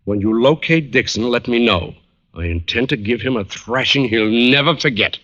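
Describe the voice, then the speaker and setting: An actor playing a wealthy, determined gentleman client in an old-time radio drama, speaking in a polite, measured, proper way. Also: medium pitch, natural voice. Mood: grave, resolute. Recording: muffled vintage radio recording.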